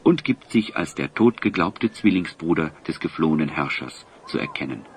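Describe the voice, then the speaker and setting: A man's voice: extremely low pitch, big pitch swings, moderate pace, slight background noise. A man narrating a fantasy audio play in a dramatic, scripted storytelling style.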